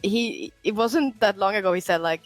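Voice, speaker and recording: A woman's voice: medium pitch, normal speed, no room sound, soft background music. A woman hosting a podcast, chatting casually, giving short affirmations and laughing often.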